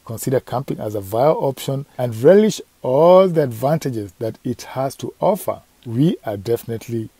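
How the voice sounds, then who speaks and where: A man with a husky voice reading a scripted voice-over for a travel vlog. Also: mid-range voice, animated, normal speed, hardly any pauses, mellow, no echo. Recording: dry voice-over over music.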